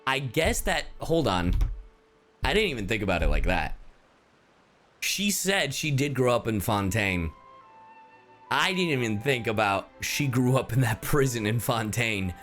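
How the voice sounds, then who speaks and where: A man's voice: medium pitch, big pitch swings, unhurried, long pauses, a bit bright, faint music behind. A man commenting casually on a game during a livestream, informal and reactive, with some swearing. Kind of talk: casual gaming stream commentary.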